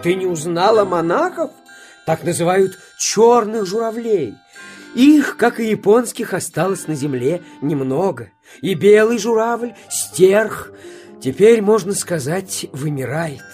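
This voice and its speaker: A woman voicing a big, grumbling but knowledgeable male grey crane in a children's audio fairy tale, sighing and expressive. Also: mid-range voice, a little slow, faint music behind, close to an everyday voice. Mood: knowing, wistful.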